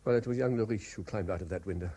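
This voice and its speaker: An actor playing a haunted, reluctant private investigator in a radio drama, with a British accent and a hesitant, measured way of speaking. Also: male voice, low-pitched, mellow, husky. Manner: hesitant, stammering in talk, measured when dictating. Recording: 1970s radio drama broadcast.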